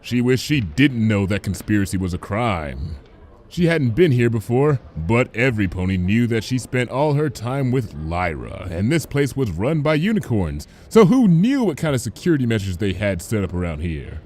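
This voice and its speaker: A man's voice: lowish voice, very animated, normal speed, a little mellow. A man reading a story aloud as narrator, in a scripted storybook style. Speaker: fan fiction reader, narrator.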